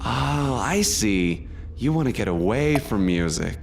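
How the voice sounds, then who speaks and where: Woman as ambitious young soprano, sarcastic, incredulous, lively mid-pitched voice, comedy sketch, faint background noise. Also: unhurried, full character voice. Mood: earnest. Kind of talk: audio sketch comedy acting.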